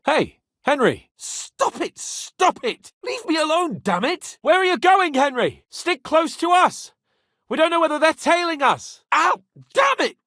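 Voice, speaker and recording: Casting: a young nobleman in a medieval game, lordly and loyal, lively intonation, rough-edged voice. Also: very high voice, no room sound, studio quality, full character voice. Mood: urgent, irritated. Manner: expressive, lordly, exclamatory. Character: spirited young nobleman in medieval Bohemia, haughty yet loyal. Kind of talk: dramatic video game voice acting.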